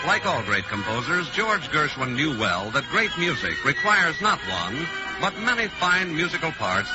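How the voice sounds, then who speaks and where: A man announcing in old-time radio style, with a lively, swooping pitch and a slightly raised voice. The sound is dull and lo-fi, with loud music behind. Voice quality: smooth, measured announcer delivery. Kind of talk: scripted radio commercial.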